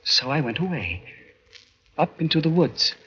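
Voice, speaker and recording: An anguished living dead man cursed to see tomorrow, in 1940s radio horror style. His husky voice rises and falls in earnest, pleading swells. The old recording is muffled. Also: on the high side, sing-song, normal speed, full character voice. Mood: sombre, confessional. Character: anguished, earnest undead man who foresees the future.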